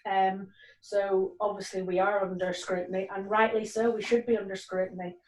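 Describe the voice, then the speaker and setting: A woman police sergeant in her forties speaks earnestly on a video call, pausing often on ums. Her delivery stays fairly flat. The audio is roomy and distant, as if she sits well back from the microphone. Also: mid-range voice. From Nigeria and Northern Ireland. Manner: earnest, many ums and restarts. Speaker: police community cohesion sergeant.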